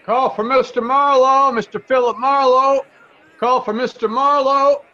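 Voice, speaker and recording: An actor playing a hesitant, obliging hotel page in an old-time radio drama, halting and deferential. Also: male voice, very high-pitched, steady tone, bright, forceful tone, highs cut off, heavily acted. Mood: calling out.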